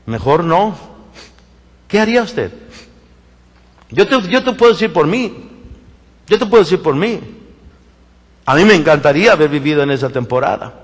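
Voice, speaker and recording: Mexican preacher in an echoing church. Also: male voice, fairly high pitch. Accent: Mexican Spanish. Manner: animated storytelling, acts out quoted voices, many restarts. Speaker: pastor. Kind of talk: sermon with personal stories. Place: church sanctuary.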